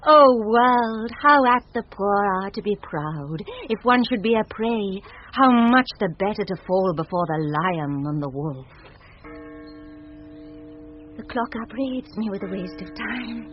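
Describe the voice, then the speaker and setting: A woman's voice: medium pitch, lively intonation, unhurried, hardly any pauses, soft background music, natural voice. A British actress playing a proud, lovesick noblewoman, stately and yearning, in a classic radio Shakespeare play. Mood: proud, wistful. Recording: dull, noisy vintage radio recording.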